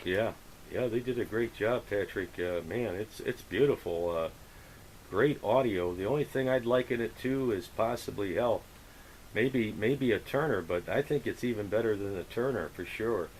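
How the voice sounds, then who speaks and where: A man's voice: lowish voice, normal speed, a little hiss or hum, low-quality recording. A man chatting casually over the radio as an operator, effusive and full of radio jargon. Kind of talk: casual radio contact chat.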